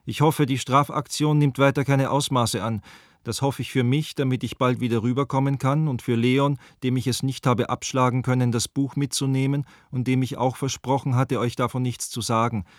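A man's voice: medium pitch, fairly even intonation, normal speed, no echo, close to an everyday voice. An actor as a rueful letter-writing man, dry sound. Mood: rueful, explanatory. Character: Walter, apologetic Viennese man, rueful, wry friend writing letters. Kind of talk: radio drama, letter read aloud.

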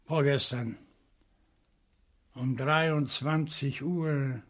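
An actor playing a courteous, bookish professor in a German radio crime play, speaking precisely and deliberately. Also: male voice, mid-range voice. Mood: polite, measured. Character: courteous, bookish professor, a night owl with a dog.

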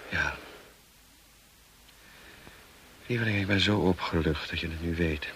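A man acting a tormented, bitter husband in a Dutch radio play, speaking gravely with dramatic pauses. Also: sits low, a bit bright. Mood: relieved, confiding. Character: tormented, bitter husband confessing to his young wife. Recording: noisy old radio play recording.

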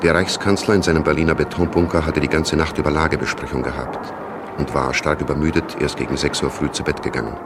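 A man narrating a radio play as a sober chronicler of wartime events, measured and factual. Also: rumbling low, steady tone. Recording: clear background noise.

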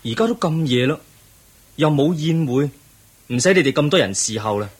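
Radio drama lead: a world-weary young prince, Hong Kong Cantonese accent, markedly husky, reflective and formal. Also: male voice, fairly high pitch, animated, moderate pace. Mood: politely dismissive. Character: pensive young crown prince, weary of palace pleasures.

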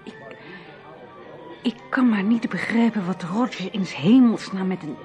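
A woman's voice: medium pitch, unhurried, darkish tone, soft background music, lightly acted. A woman playing an earnest, anxious heroine in a radio drama, speaking somewhat hesitantly. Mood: puzzled, worried. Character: earnest, anxious woman searching for her brother.

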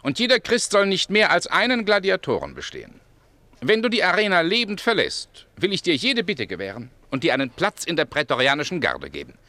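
An actor playing an urbane, imperious Roman emperor in a radio play, grandiose, condescending and capricious. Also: male voice, a little high, wildly swooping pitch, a little fast, bright, forceful tone, no echo, full character voice. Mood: grandly magnanimous. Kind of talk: German radio play acting.

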